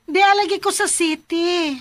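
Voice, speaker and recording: A woman's voice: very high voice, fairly even intonation, moderate pace. A woman with a Cebuano Filipino accent playing a deceitful, insistent young plotter in a radio drama. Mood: coy, evasive. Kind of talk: Philippine radio drama acting.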